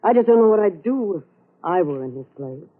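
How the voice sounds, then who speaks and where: A woman's voice: lowish voice, lively intonation, fairly quick, heavy background noise, lightly acted. Woman playing coy radio nurse, tinny sound. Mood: regretful. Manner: coy, knowing. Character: cool, flirtatious female nurse, world-weary and teasing. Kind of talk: 1940s radio drama acting. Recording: tinny old radio recording.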